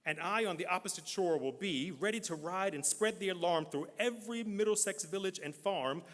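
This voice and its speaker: A man giving a dramatic poetry reading at a live event, with animated, rising emphasis on key words. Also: sits high, moderate pace. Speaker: poem reader. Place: large church hall. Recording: long reverb of a large hall.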